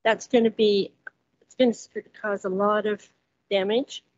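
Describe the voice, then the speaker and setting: A woman resident giving public comment at a council hearing, reading hesitantly from notes with many pauses. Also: medium pitch, fairly even intonation, a little mellow, no echo. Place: council chamber, standing at podium. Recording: dull muffled council chamber recording.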